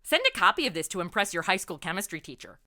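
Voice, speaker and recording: A woman's voice: lowish voice, sing-song, fast talker, sharp tone. A woman hosting a podcast with playful, quippy, semi-scripted comedic interview banter. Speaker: podcast host.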